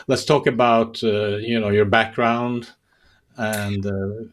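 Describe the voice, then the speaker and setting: Halting male podcast interviewer. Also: fairly low pitch, steady tone. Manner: halting, repeats words as they search for phrasing. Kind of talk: conversational interviewing.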